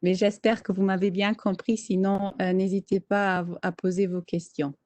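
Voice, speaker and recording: A woman's voice: mid-range voice, level tone, moderate pace, a little mellow, dry recording. A woman whose first language is German, presenting an information session on a training course, with frequent hesitations and fillers. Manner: hesitant, many fillers, checks audience understanding. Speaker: training advisor.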